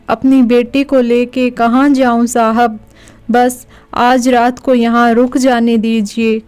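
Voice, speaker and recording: A woman playing a poor, gentle, homeless young mother in a cartoon, speaking in a plaintive, pleading way. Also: a little high, smooth.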